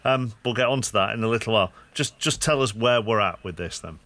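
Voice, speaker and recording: A man's voice: on the low side, fast, fairly bright tone, no echo. An English man hosting live sports radio in a conversational presenting style.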